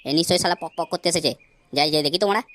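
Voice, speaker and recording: A foul-mouthed male busybody from a comedy cartoon acted by a man, gossiping at breakneck speed. He is crude and nosy, with clear noise behind the voice. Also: fairly high pitch, racing, lightly acted. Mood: suspicious. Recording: clearly noisy background.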